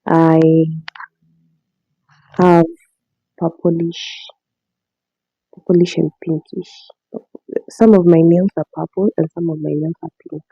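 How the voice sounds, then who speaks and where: A young Kenyan woman hosting her diary podcast, talking casually in a raspy voice because she has just woken up, soft-spoken and rambling with frequent laughs. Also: lowish voice, little pitch movement, slow pace, pauses often, no echo, lo-fi sound. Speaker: podcast host. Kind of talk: casual diary-style monologue.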